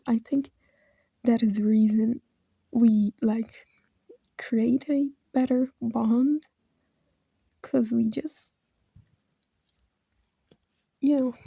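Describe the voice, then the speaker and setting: Woman close to tears, halting and whispery, dark husky voice, dry close sound. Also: a little high, fairly even intonation, no echo. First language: Brazilian Portuguese. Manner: halting, hesitant, drifting into soft whispers. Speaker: video creator. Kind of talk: emotional personal tribute.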